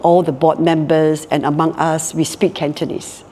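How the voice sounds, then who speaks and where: A woman's voice: deep voice, level tone, normal speed, warm tone, big-room sound, a little hiss or hum. A Singaporean woman in her later years, speaking reflectively in an interview and often repeating words as she thinks. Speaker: guest, hotel group co-founder. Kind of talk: casual interview talk.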